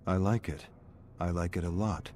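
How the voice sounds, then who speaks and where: A young male gang ringleader, read by a man narrating, cool and challenging in a dark game story. His voice sits low, with faint noise behind it. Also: fairly even intonation, normal speed, lightly acted. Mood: approving.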